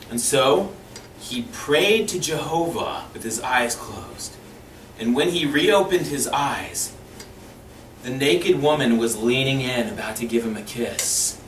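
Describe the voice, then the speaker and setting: A man's voice: mid-range voice, a bit bright. Man telling stories in a roomy, distant recording. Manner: mock-serious, acts out dialogue with pauses. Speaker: storyteller. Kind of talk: animated comic storytelling to camera. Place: small indoor room, seated before camera. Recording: roomy, distant mic with background hiss.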